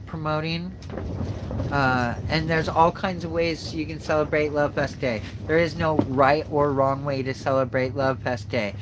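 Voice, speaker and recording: A man with a blocked, stuffy nose from a cold leads a spiritual livestream, with a slightly high voice. He talks slowly and haltingly, full of fillers and restarts, and he reads passages aloud in the same unhurried way. A faint background noise sits behind him. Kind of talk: slow reflective spiritual talk and reading aloud.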